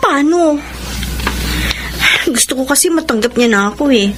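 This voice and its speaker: A woman's voice: high-pitched, lively intonation, normal speed. A woman playing a meek, hardworking teenage Filipino wife in a radio drama, polite, earnest and eager to please. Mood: wistful. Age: young adult. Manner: polite, soft-hearted, earnest. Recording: noisy radio drama track.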